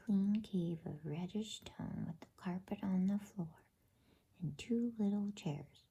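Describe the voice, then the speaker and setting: Whispering woman reading a bedtime story, very breathy. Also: lowish voice. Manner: slow, gentle, with brief informal asides. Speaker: storyteller reading a children's fantasy novel aloud.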